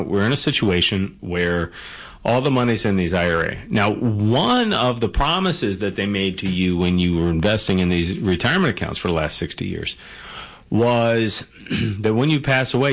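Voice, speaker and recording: Low-voiced man explaining finances conversationally. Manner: conversational, rhetorical questions, frequent "okay?" and "right?". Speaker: financial commentator. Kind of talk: explanatory monologue.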